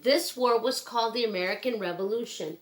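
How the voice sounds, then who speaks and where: Higher-voiced woman reading to children. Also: brisk. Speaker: children's book reader. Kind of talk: read-aloud of a children's picture book.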